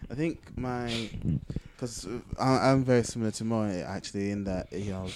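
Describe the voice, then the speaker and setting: A man's voice: fairly low pitch, normal speed, background noise. A man co-hosting a casual podcast, explaining his thoughts reflectively and correcting himself as he goes. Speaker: podcast co-host. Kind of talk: casual conversational podcast chat.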